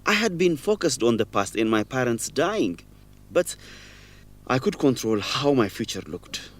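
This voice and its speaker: A man's voice: mid-range voice, big pitch swings, measured pace. Earnest young man, emotional swooping delivery. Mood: anguished, regretful. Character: guilt-ridden young man, former orphan, confessing a shameful secret. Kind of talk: educational radio drama acting.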